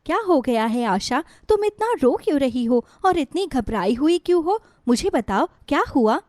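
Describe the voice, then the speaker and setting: A woman plays a poor mother from a bedtime moral tale. She speaks earnestly and chides gently, in a fairly high voice. The sound is close and dry. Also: big pitch swings, normal speed, no echo. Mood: caring. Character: poor, gentle, weary mother who sells samosas. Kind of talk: moral-story dialogue.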